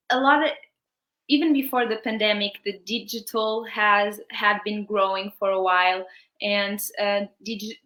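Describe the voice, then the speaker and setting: Woman interviewer, native Portuguese speaker, mid-pitched voice, hesitant conversational questions, echoey room. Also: pushed and bright.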